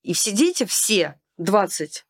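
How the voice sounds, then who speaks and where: A woman's voice: medium pitch, sing-song, slow, breathy edge. A woman in casual podcast conversation, guest, telling detailed stories from her work with children.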